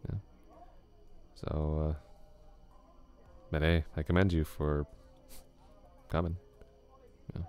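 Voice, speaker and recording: A man playing a laid-back flirty classmate, very deep. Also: level tone, takes it slowly, long pauses, a little mellow, clear, resonant tone, soft background music, full character voice. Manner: casual, easygoing, chuckles often. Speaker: ASMR roleplay voice actor. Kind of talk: ASMR roleplay, soft close talk.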